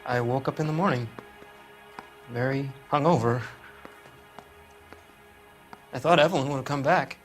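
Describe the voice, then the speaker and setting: A man acts out an anxious American suspect in a crime drama game. His voice sits at a middle pitch and sounds defensive, nervous and hesitant. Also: brisk, faint music behind, lightly acted. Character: anxious, defensive male bowling alley worker suspect. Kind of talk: video game voice acting, 1940s crime drama.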